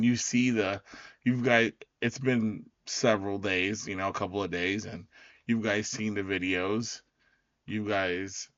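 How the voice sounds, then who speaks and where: Raspy guy doing a chill podcast recap, slow and rambly, bright edge, kinda dull lo-fi audio, dry. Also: sits low, no room sound. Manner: loose, rambling, full of fillers and restarts. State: raspy, recovering voice. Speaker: podcast host. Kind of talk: casual solo recap talk.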